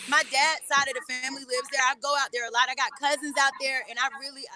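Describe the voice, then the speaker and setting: High-voiced young woman, earnest and gushing. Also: level tone, quick pace, over a noisy crowd. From Atlanta. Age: young adult. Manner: earnest and gushing, laughs easily. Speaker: guest artist. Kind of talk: casual live-stream chat.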